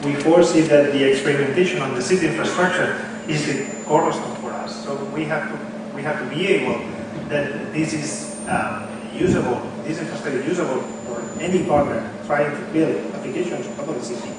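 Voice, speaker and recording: A man whose first language is Spanish, giving a technical conference talk in a halting way, full of fillers and restarts. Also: a little high, far from the mic, very noisy. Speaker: conference speaker. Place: conference hall. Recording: echoey hall, mic from afar.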